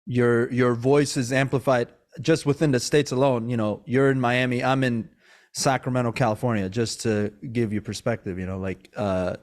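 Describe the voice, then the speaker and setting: A man's voice: mid-range voice, fairly even intonation, normal speed. Rambling, friendly male podcast host. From Iran, raised in Maryland. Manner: rambling, with many fillers and restarts. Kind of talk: casual interview chat. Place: Sacramento, California.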